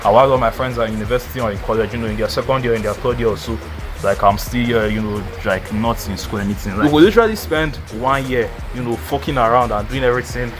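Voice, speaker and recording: A man's voice: medium pitch, fast, music in the background. A twenty-year-old man giving casual life advice in a rambling, slangy way, full of "like" and "you know". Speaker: vlogger.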